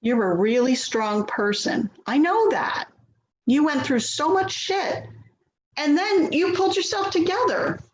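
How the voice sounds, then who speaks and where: In a roomy, slightly muffled recording, an actress plays an anxious grown daughter in a stage drama. She is placating and quick to blame herself, with a highish voice that swings expressively. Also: expressive. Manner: placating, hesitant, self-blaming. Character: Louise, anxious self-doubting grown daughter of a difficult mother. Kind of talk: dramatic play reading, naturalistic dialogue. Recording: echoey, dull, noisy remote recording.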